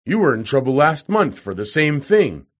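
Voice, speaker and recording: A man's voice: a little high, very animated, moderate pace, pitched above the performer's own voice. A man voicing a stern policeman in an audiobook, with an official, questioning manner. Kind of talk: audiobook character voice.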